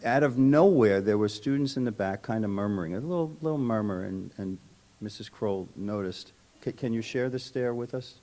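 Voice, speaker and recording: Low-voiced man giving a tribute. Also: moderate pace, warm tone. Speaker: school administrator giving a tribute. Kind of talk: prepared tribute speech with informal asides. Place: public school board meeting room.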